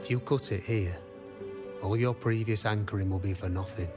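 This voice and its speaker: Over clear background music, a male actor plays a sage game weaponsmith with a low voice. He speaks calmly and solemnly, weighing each phrase like dramatic, philosophical counsel. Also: normal speed, lightly acted. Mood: grave, testing. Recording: music behind the voice.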